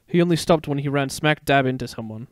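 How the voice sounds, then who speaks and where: A man's voice: medium pitch, fast, dry recording, studio quality. A man narrating a scripted story with a dramatic storytelling delivery.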